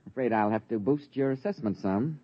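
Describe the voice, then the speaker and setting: A man's voice: mid-range voice, a little fast, close to an everyday voice. An actor playing a matter-of-fact male county tax assessor in a mid-century radio drama, talking in dry, clipped phrases. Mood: businesslike. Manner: dry, clipped, drops sentence openings. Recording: dull old radio recording.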